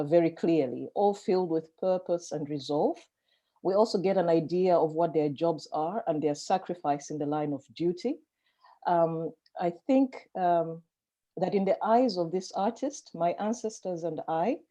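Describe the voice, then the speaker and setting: Soft-spoken reflective woman from Malawi. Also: on the low side. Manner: measured, reflective, with soft fillers. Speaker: panelist. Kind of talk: online conference talk. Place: home, online video call.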